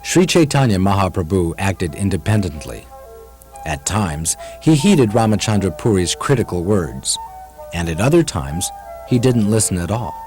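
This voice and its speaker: Guy narrating a puppet show, lowish voice, solemn, music behind. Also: very animated, moderate pace, darkish tone.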